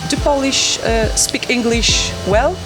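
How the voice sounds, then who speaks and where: A woman's voice: a little high, takes it slowly, over music, poor audio. A woman interviewing, asking short, simple questions in slightly non-native English.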